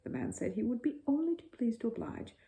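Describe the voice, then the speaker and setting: A woman reading a children's bedtime story as an expressive narrator. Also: a little high, fairly quick, narrow, tinny audio.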